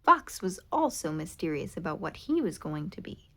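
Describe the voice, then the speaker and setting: A woman reading a children's picture book aloud in an expressive, animated way. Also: sits low, normal speed. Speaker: storybook reader. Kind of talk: children's read-aloud narration.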